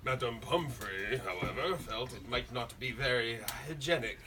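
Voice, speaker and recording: A man playing a kindly old wizard headmaster in a theatrical story reading, genteel, amused and deliberate. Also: medium pitch, expressive, lightly acted. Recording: distant mic, faint room noise.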